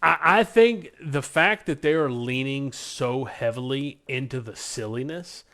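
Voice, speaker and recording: A man's voice: medium pitch, measured pace, fairly bright tone. An American man co-hosting a podcast in casual, enthusiastic pop-culture chat, speaking emphatically. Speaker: podcast co-host.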